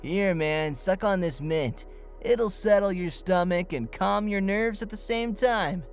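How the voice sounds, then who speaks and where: Man playing a slow-talking slacker student guy. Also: high voice, faint music behind. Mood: reassuring. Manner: casual, drawling, breezy. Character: laid-back, cocky young male student slacker. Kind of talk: comedy audio drama acting.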